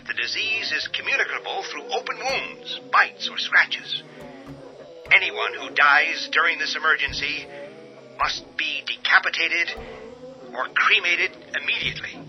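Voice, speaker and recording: A man voicing a male radio news announcer who reads out an emergency warning in a formal, measured way. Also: on the high side, sharp tone, voice pushed up, full character voice. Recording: dull lo-fi with music beneath.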